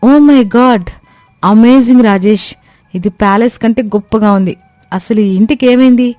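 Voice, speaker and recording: A woman voicing a gentle, sensible young daughter-in-law in a cartoon story, speaking politely and soothingly. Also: fairly high pitch, measured pace, no echo, faint music behind, close to an everyday voice. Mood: amazed. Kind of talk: animated moral story cartoon dubbing.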